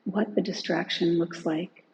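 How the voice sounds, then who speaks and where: A woman teacher leading a guided relaxation in a gentle, unhurried way, leaving long pauses between phrases. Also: on the low side, steady tone, creaky, slight background noise, rough recording. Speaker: meditation and yoga teacher.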